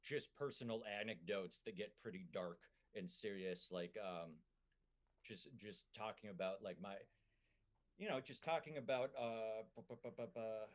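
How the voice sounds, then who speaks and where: A comedian with a Minnesotan accent, chatting on a podcast in a halting way full of fillers and restarts. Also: male voice, lowish voice. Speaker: comedian guest. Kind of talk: casual podcast chat.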